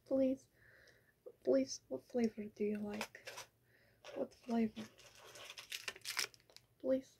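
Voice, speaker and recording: A woman's voice: sits high, warm tone, low-quality recording. A woman whispering as a vampire offering candy to get inside, coaxing and pleading. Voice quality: breathy whisper. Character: vampire doing reverse trick-or-treating with candy. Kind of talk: whispered ASMR roleplay.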